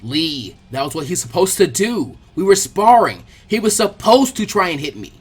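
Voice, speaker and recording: A storyteller voicing a brisk, easily annoyed but friendly teenage girl who talks in a blunt, casual way. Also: mid-range voice, very animated, breathy edge.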